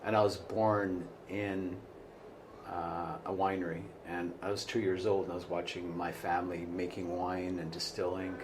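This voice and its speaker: Deep-voiced man in a noisy bar. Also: flat delivery. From Portugal, raised in Canada. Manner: rambling, halting, trailing off quietly. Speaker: bar owner, guest. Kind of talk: casual interview chat. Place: small bar. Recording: noisy bar ambience behind.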